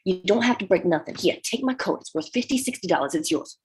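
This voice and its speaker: Woman doing a scared broke dock guy begging, whiny and husky, pitch jumps around. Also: lively intonation, quick pace, darkish tone. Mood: wheedling. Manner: whining, pleading, wheedling. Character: frightened, pleading male dockworker in debt. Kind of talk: table read of a film script.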